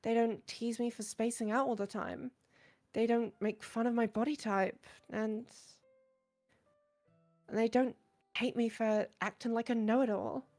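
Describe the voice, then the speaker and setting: Casting: female actor as insecure, soft-spoken bookworm girl, visual novel game, slightly high pitch, halting earnest delivery. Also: fairly even intonation, faint music behind. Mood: wistful, sad. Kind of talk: visual novel character voice acting.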